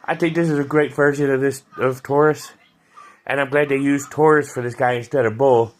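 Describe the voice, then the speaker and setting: A man's voice: medium pitch, fairly even intonation, a little fast. A man giving a loose, chatty video review of an action figure, with frequent restarts and fillers. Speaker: toy reviewer.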